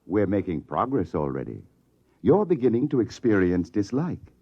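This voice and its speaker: A man's voice: fairly low pitch, very animated, moderate pace, breathy edge, exaggerated voice. A man playing a domineering, dryly amused psychoanalyst in old-time radio science fiction, brusque and condescending. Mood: smug, commanding. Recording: dull, muffled old radio broadcast recording.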